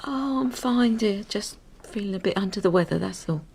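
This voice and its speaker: A woman's voice: medium pitch, moderate pace, vocal fry, darkish tone, slight background noise, natural voice. A woman playing an anxious, self-doubting wife and mother in an audio drama, speaking in a plaintive, hesitant way. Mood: anxious, tender.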